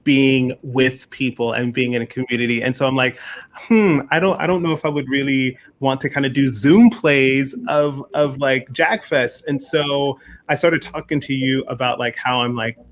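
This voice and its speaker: A man's voice: mid-range voice, moderate pace, slight background noise. Casual, enthusiastic man in conversation. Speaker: festival producer hosting a discussion.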